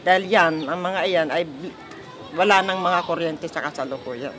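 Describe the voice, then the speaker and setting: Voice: mid-range voice, normal speed, a crowd in the background. Filipino resident speaking over faint noise. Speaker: resident activist interviewee. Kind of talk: explaining grievances in an interview. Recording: noisy outdoor field recording.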